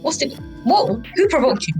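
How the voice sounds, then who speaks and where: A young woman chats among friends in a fairly high voice that swings widely in pitch. She is animated and teasing and repeats phrases, with music playing behind her. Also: moderate pace. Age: young adult. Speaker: youth advisory board member on a podcast. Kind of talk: casual chat among friends.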